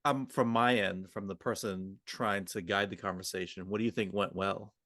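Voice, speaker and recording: A man's voice: fairly low pitch, fairly even intonation, normal speed, fairly bright tone, no echo, clean studio sound. A man co-hosting a podcast, talking in an informal, collaborative, conversational manner. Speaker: podcast co-host. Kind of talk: roleplay and conversational coaching discussion.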